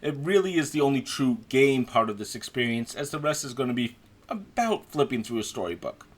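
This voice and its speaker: A man giving a conversational, opinionated voice-over review as a video game critic. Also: medium pitch.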